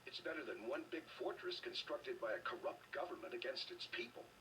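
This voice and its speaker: An actor playing an earnest, argumentative anti-government rebel in a video game, speaking with preachy insistence. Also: male voice, mid-range voice, big pitch swings, fairly quick, sharp tone, recorded from a distance, slight background noise, low-quality recording.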